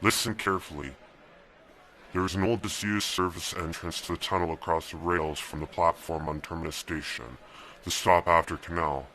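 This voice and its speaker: A man voicing a resolute young husband on the run in a dystopian radio play. His voice sits very low yet carries a bright, forceful edge. He talks calmly and lays out the escape plan step by step. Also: normal speed. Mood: instructing. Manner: calm, giving steady instructions. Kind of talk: amateur radio drama acting.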